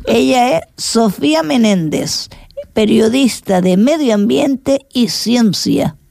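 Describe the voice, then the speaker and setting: Dry, close studio sound on an older woman with a gravelly edge, slightly high-pitched. She hosts a radio chat in a teasing, playful way. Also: lively intonation, slow, darkish tone, dry recording. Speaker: radio show host.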